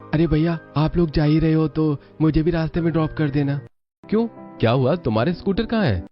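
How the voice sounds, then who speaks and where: A man's voice: on the high side, a little fast, lightly acted. Man voicing a teasing young brother, muffled audio. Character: teasing young adult brother, an office-going son. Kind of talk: Hindi cartoon story dialogue. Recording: dull lo-fi audio with music.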